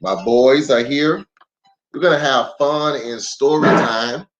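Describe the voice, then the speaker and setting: A man's voice: mid-range voice, slow pace. An American man, a pastor, chatting casually and welcomingly to families as he hosts a children's story time livestream. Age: adult parent of young children. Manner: welcoming, addresses viewers directly. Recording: dull lo-fi livestream audio with music.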